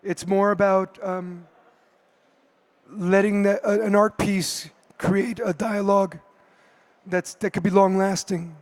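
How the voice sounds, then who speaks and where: A man speaking on a conference panel, his voice hoarse from a cold and pitched well above most men. He talks hesitantly and often restarts his phrases. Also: level tone, takes it slowly. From United States. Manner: hesitant, many fillers and restarts. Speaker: artist on a panel.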